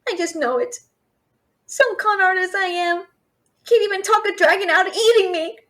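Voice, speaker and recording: A woman playing a brash, fast-talking young female thief and failed alchemist in a fantasy audio roleplay, chattering with sarcastic, exasperated bluster. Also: very high voice, a bit bright. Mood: grumbling, wry. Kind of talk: fantasy audio roleplay, addressing an unseen listener.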